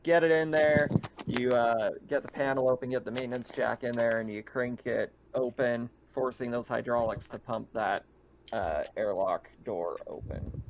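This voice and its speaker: A man with a creaky, medium-pitched voice runs a tabletop roleplaying game. He explains scenes conversationally and casually. The recording sounds slightly dull and lo-fi. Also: fairly even intonation, normal speed, slight background noise. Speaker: game master.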